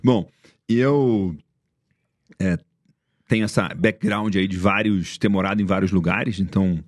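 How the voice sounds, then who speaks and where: A man's voice: low voice, a little slow, no room sound, studio quality. A Brazilian man with a light Rio de Janeiro accent, a podcast guest chatting casually and reflectively. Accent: Rio de Janeiro Portuguese. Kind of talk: casual interview chat.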